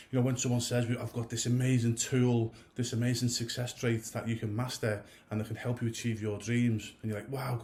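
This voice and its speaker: A man's voice: mid-range voice, a little mellow. A man in his thirties or older giving a casual coaching talk to goalkeepers. He rambles, restarts and goes off on tangents, and his nose is stuffy from a cold. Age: 30s or older. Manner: rambling, many restarts and asides.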